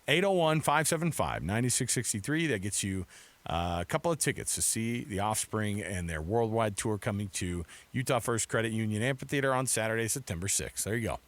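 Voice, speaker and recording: A man's voice: on the low side, expressive, brisk, dry recording. A middle-aged American man hosting sports radio in a casual, chatty, rambling way from a studio mic. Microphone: close studio microphone.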